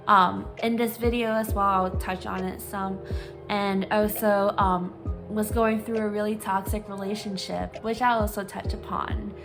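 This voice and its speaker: A woman from Singapore in her twenties, candidly sharing her personal story in a hesitant, um-filled vlog, reading from talking points on her phone. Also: medium pitch. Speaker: vlogger, psychology grad student.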